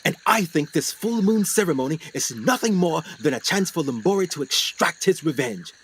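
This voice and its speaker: Man playing a higher-pitched, haunted explorer doctor. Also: expressive, normal speed, a little hiss or hum, natural voice. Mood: urgent, ominous. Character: haunted, educated explorer doctor, grave and anxious. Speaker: actor in a radio play. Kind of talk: dramatic 1920s adventure radio acting.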